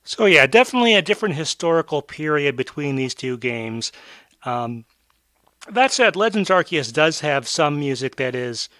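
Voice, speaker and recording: A man hosting a casual podcast chat, his voice bright and forward. He rambles, circling back with plenty of ums and restarts. The sound is close and dry, with no room behind it. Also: fairly high pitch, very animated, normal speed, no room sound. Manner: rambling with many fillers and restarts. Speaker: podcast host. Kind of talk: casual discussion.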